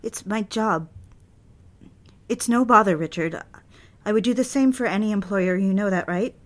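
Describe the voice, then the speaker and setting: A woman's voice: mid-range voice, normal speed, natural voice. An actress playing a proper, dutiful and easily flustered young secretary in an audio drama, a woman speaking hesitantly and earnestly. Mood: flustered, apologetic. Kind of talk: dramatic audio fiction, intimate dialogue.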